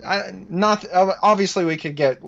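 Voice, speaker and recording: Slightly high male voice, dull lo-fi sound. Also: moderate pace, a crowd in the background. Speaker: talk show participant discussing politics. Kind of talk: casual political commentary. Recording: dull, lo-fi voice connection.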